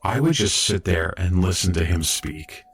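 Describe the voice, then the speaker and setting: An actor playing an earnest, modest young robot apprentice medic in an audio drama, reflective and a little hesitant. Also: male voice, low-pitched, lively intonation, moderate pace, faint music behind. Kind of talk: audio drama acting and narration.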